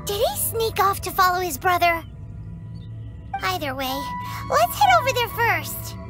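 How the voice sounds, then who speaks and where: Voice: extremely high pitch, big pitch swings, loud music behind the voice, heavily acted. A chatty tiny sprite. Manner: chattering, quick to gasp and comment. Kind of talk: game cutscene voice acting.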